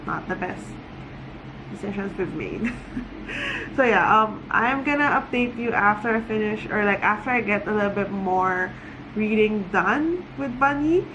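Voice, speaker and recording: A woman's voice: medium pitch, animated, normal speed, lo-fi sound, background noise. A woman talking casually and giggly to viewers in a rambling, conversational video diary, recorded indoors at home. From Philippines. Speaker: book vlogger.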